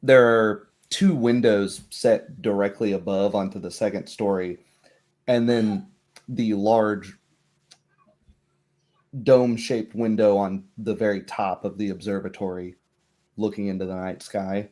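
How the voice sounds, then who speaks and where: A man acts as game master for a tabletop roleplay. His voice is mellow and a little low. He narrates haltingly, searching for his words at a very unhurried pace. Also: fairly even intonation.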